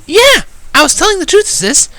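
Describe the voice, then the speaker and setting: Man doing a squeaky, excitable little filly girl. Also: moderate pace, dry recording, heavily acted. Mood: eager. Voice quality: squeaky falsetto. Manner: eager, childlike, bubbly.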